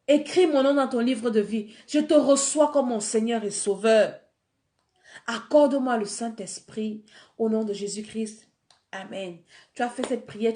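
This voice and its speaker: Fervent woman preacher with a cold. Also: a little high. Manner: fervent, swings between soft prayer and rising exhortation. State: has a cold. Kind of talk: sermon with guided prayer.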